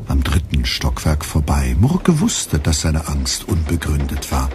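An actor narrating a literary satire in a measured way for a radio play. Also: male voice, extremely low pitch, noisy background, heavily acted.

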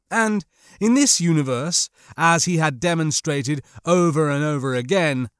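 A man reads a science fiction audiobook with measured, literary delivery. His voice sits a little high and moves with plenty of melody. The sound is close and dry. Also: a bit bright, no room sound. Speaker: audiobook narrator. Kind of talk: scripted dramatic narration.

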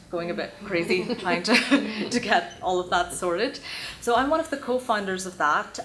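A woman introducing her work on a conference panel, fluent and steady. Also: fairly low pitch, a little hiss or hum. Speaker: panel speaker, design event founder. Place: conference stage, large hall.

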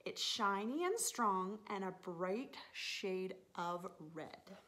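A woman in her thirties, a teacher reading a picture book aloud to young children in an expressive, animated manner with drawn-out words. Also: medium pitch. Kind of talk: expressive children's story time read-aloud. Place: living room, indoors on a sofa.

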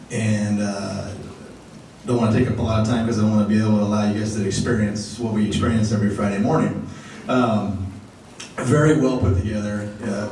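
A man with a somewhat low, warm voice addresses a room full of men, pausing often with fillers. He is heard from across a large meeting room, with strong echo and faint room noise. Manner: halting, many fillers. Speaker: group organizer introducing speakers. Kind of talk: casual talk to a men's group. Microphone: far camera. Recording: echoey room from far off.

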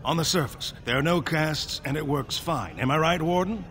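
A man's voice: a little high, moderate pace, a bit bright, a little hiss or hum, close to an everyday voice. A man playing a wry, self-mocking dwarf lord. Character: sardonic rebellious male dwarf noble. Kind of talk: video game dialogue.

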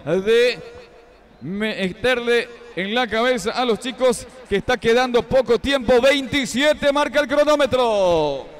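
A man with a Paraguayan Spanish accent calling a youth futsal match live, with quick, excited play-by-play that climbs at scoring chances. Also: very high-pitched. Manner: rapid, excited calls that rise near goal chances. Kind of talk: live sports commentary.

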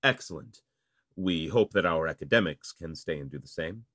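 Man playing low, dignified nobleman. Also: moderate pace, no echo, studio quality. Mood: calm, courteous. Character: courteous, dignified male human marquis seeking peace. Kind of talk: visual novel voice acting. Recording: loud music over the voice.

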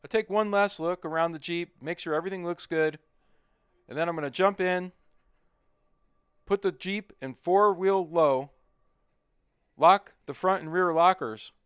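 A man's voice: a little high, smooth, no room sound, studio quality. A middle-aged man narrating his off-road trip in a plain-spoken, easygoing voice-over. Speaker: overlanding vlogger.

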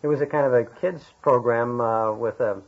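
A man's voice: mid-range voice, normal speed. An American man asking questions as an interviewer in a conversational way. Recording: dull lo-fi interview tape.

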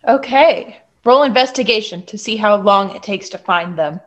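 A woman's voice: medium pitch, lively intonation, normal speed, noisy background. A woman acting as game master, narrating an improvised tabletop story in a casual style.

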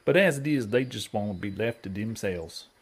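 An actor playing a folksy, earnest frontier guide with a drawling rural Western American accent in a western audio drama. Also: male voice, fairly low pitch, animated, moderate pace, breathy edge. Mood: hushed, explaining.

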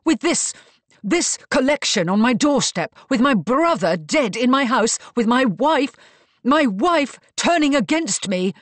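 A woman voicing a furious, domineering gentleman, bellowing and bitterly sarcastic, in an audiobook. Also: high voice, big pitch swings, moderate pace, dry recording. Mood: bitter rage. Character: furious, domineering man, master of the house.

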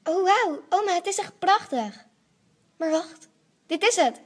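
A woman's voice: very high voice, lively intonation, a little slow. A woman playing a determined, earnest young princess in an amateur radio play. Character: young princess, determined and devoted to her family.

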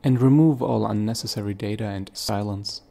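A man's voice: fairly low pitch, moderate pace, vocal fry, mellow. A man reading scripted narration for a step-by-step tutorial.

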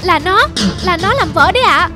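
A spoiled little rich boy in a cartoon folk tale. The voice is very high, excitable and commanding. Music plays underneath. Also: normal speed. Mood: accusing. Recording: music behind the voice.

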